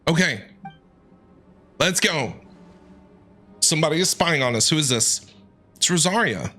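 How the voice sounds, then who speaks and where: A man's voice: fairly high pitch, animated, unhurried, bright, forceful tone, faint music behind. A man streaming a game with playful, casual reaction commentary, talking back to the characters.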